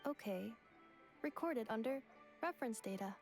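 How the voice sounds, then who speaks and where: A woman's voice: a little high, lively intonation, moderate pace, soft background music. A woman playing a polite, literal robot in a video game, speaking in stilted, formal, machine-like phrases. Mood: flat, questioning. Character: female robot puzzling over memories, polite and literal.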